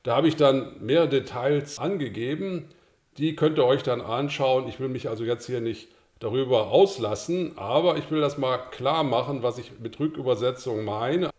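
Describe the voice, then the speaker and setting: An older man, a native German speaker, calmly explaining a screencast tutorial to course participants, with the odd filler sound. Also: medium pitch, moderate pace, echoey room. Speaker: course instructor.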